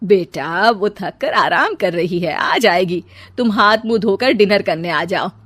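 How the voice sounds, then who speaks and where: A woman's voice: lowish voice, expressive, measured pace, a bit bright, no room sound. A woman voicing a kindly older mother-in-law with a motherly manner in a cartoon story. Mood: soothing. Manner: soothing, motherly.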